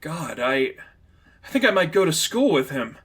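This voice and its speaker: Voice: mid-range voice, sing-song, moderate pace, a bit bright, breathy edge, voice pushed up. A man voicing a young, wisecracking superheroine with a casual, emphatic manner in a story reading. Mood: concerned, casual.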